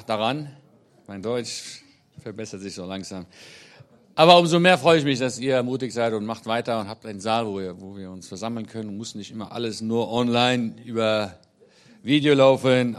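A middle-aged man who grew up in Israel, speaking as a guest at a church service in a chatty, joking way, often pausing on fillers. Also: medium pitch. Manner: chatty and joking, with frequent hesitations. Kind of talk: casual talk to a congregation. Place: meeting hall.

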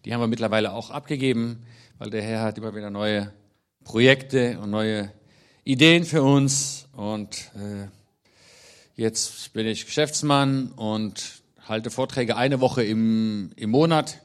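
A middle-aged man who grew up in Israel, speaking as a guest at a church service in a chatty, joking way, often pausing on fillers. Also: on the low side, smooth. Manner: chatty and joking, with frequent hesitations. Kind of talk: casual talk to a congregation. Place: meeting hall.

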